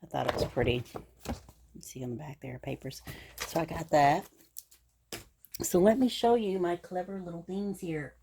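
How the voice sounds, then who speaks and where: Low-voiced chatty craft host. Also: female voice, moderate pace, gravelly, pauses often, background noise. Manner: chatty and gushing, with frequent fillers. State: slightly scratchy voice from a cold. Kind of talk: casual crafting show-and-tell.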